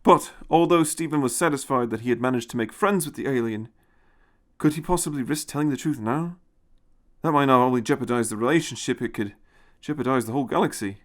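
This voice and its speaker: A man's voice: medium pitch, a little fast. A man narrating a scripted audio story.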